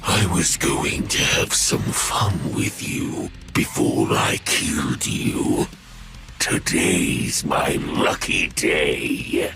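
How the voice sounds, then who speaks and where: A menacing, sadistic mechanical monster for a video game. The voice is low and gravelly, crawling along slowly with gloating, theatrical relish. Music plays behind it. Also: male voice, expressive, exaggerated voice. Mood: gloating menace. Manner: slow, drawn-out, gloating, theatrical delivery. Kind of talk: dramatic video game voice acting.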